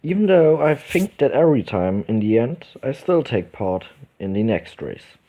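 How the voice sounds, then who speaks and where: A man from Germany with a German accent hosting a casual let's play, reading visual novel text aloud haltingly and correcting himself as he goes. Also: mid-range voice, sing-song. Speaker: gaming video host. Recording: dull lo-fi microphone, music behind.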